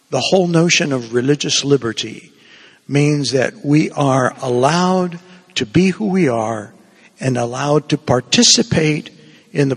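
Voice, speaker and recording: A man from Cuba preaching a homily in an unhurried, meandering way, with a gravelly, husky voice. His words ring out with a long echo through the church. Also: mid-range voice, a bit bright. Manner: conversational, digressive preaching with asides.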